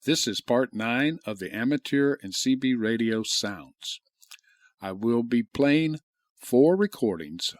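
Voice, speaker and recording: Guy with a lowish voice doing a casual demo, measured and matter of fact, really dry close sound. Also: no room sound. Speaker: presenter demonstrating vintage radio microphones. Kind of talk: informal product demonstration narration.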